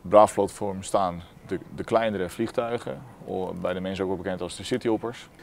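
A man's voice: low voice, brisk, gravelly, a little hiss or hum. A man in his thirties, an airport controller explaining his tasks in an orderly way. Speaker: apron controller, bus coordinator. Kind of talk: documentary interview about work. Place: glass-walled airport control tower office.

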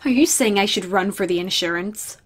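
A woman's voice: medium pitch, a little hiss or hum, close to an everyday voice. Playful young woman played by an actress. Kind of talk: audio drama acting.

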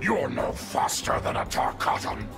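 An actor playing a savage, fanged male mutant warrior in a video game, snarling threats in a breathy growl. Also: mid-range voice, huge pitch swings, a little slow, loud music behind the voice, exaggerated voice. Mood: menacing. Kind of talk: video game fight dialogue.

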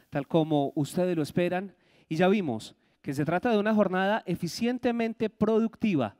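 A male event emcee at a podium introducing the next speaker in a formal, measured announcer's delivery, holding a handheld mic. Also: high voice, darkish tone. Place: conference hall podium. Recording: long hall reverb.

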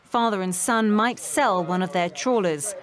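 A woman's voice: mid-range voice, a little slow. A woman reporter reading scripted narration for a news documentary. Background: background music.

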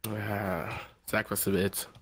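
Hoarse man pleading his case. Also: on the low side, flat delivery, slow pace, fairly bright tone. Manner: pleading, defensive. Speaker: roleplay player.

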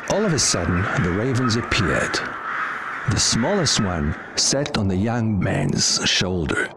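A man narrating a scripted fairy tale with deliberate storytelling delivery, as if for children. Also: lowish voice, very animated, heavy background noise. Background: music.

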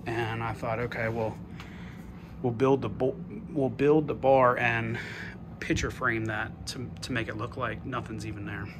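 A man casually narrating a walk-through tour of his basement game room, offhand and pausing often. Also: medium pitch, fairly even intonation. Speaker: homeowner giving a video tour. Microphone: handheld phone, behind the camera. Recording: noisy indoor phone video.

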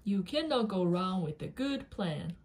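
A woman voicing an excitable, fussy elephant who loves planning, in a deliberate, emphatic picture-book read-aloud. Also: mid-range voice. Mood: insistent. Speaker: parent reading aloud with their child. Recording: noisy home recording with clicks.

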